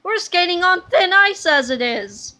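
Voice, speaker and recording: A woman voicing a bossy, excitable schoolboy with big plans in a children's storybook. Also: very high voice, a little slow, heavily acted. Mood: defiant, scheming. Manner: eager, bossy, exclamatory.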